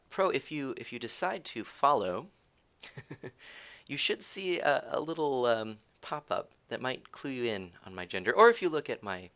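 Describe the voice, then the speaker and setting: Male streamer, lowish voice with a bright edge, giggly and teasing, rambles while he plays. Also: vocal fry. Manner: giggly and teasing, thinks aloud; rambling with frequent restarts. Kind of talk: casual live gameplay streaming, chatting with viewers.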